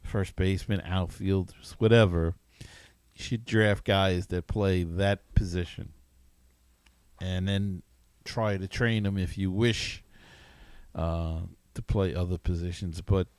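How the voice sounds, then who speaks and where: A man hosting a sports podcast, talking casually in a halting, conversational way. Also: sits low, fairly even intonation, darkish tone, smooth, dry recording. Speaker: podcast host.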